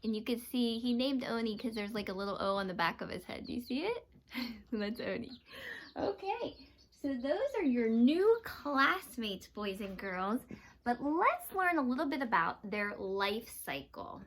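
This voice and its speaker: A woman's voice: on the high side, very animated, measured pace, a little hiss or hum. A woman teacher giving a read-aloud lesson to young children, explaining simply and asking them questions. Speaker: teacher reading aloud to students.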